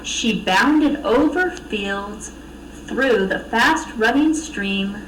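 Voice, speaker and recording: A woman reading a children's storybook aloud with expressive narration. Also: a little high, drawn-out words, recorded from a distance. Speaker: storybook reader. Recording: echoey room, off mic, faint noise.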